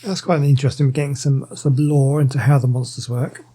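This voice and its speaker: A woman's voice: very deep voice, steady tone, brisk, dark tone, slightly rough. A woman giving casual, understated commentary as she plays through a video game, musing aloud. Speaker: gaming streamer.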